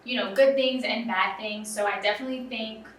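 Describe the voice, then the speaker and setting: Bright-voiced young woman, roomy off-mic sound. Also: fairly high pitch, steady tone, brisk, recorded from a distance, slight background noise. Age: young adult. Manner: earnest, filler-heavy with frequent "um" and "you know". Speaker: interview guest, student. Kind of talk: casual interview talk. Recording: roomy, off-mic with faint ambience.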